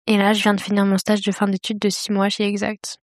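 A woman in her twenties talks in a steady, flat tone with very little melody, composed and matter-of-fact. Her voice sits dry and close to the mic. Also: mid-range voice, fairly quick, no echo. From Paris region, France. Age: 20s. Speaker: engineering intern.

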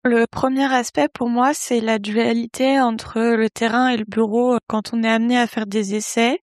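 A young woman from the Paris region, an engineering intern, presenting herself and her internship in a measured, orderly way. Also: on the high side, little pitch movement, dry recording, clean studio sound. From Paris region, France. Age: young adult. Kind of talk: scripted self-presentation.

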